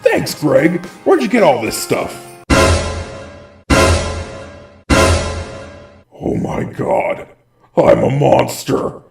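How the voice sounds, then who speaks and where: A man's voice: medium pitch, huge pitch swings, slow pace, creaky, darkish tone, exaggerated voice. A man voicing a big, goofy, protective animatronic bear in a cartoon comedy skit, jokey and theatrical. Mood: dramatic. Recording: roomy echo, music behind.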